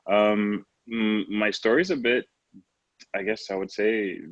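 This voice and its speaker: A man's voice: on the low side, steady tone, slow. A man in his late twenties from Trinidad and Tobago, talking conversationally and reflectively as an interview guest, often saying "you know". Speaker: podcast guest, professional basketball player. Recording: roomy, slightly dull lo-fi audio.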